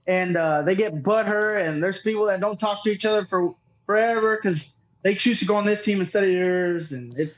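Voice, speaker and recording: A man's voice: high voice, normal speed, lo-fi sound. A man chatting casually as a podcast guest, telling stories in a slangy, sweary, unpolished way. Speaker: podcast guest, cornhole player. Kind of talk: casual chat, storytelling.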